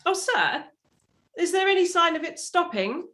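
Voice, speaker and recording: A genteel, imperious mother, played by a woman in a stage play. Her voice is high, with wide, lively swings of intonation, and her manner is prim and insistent. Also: normal speed, full character voice. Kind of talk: theatrical staged play reading.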